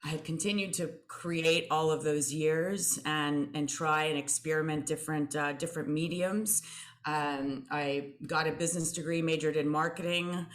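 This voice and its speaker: Woman from Belgium, middle-aged, low voice, thoughtful, fillers and restarts. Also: fairly even intonation, normal speed. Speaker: artist guest. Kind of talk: conversational interview answers.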